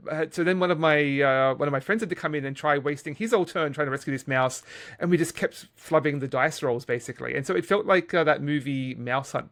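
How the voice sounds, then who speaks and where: A middle-aged man co-hosting a podcast, giving a casual game review in a halting, hesitant way with repeated words. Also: fairly high pitch. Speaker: podcast co-host.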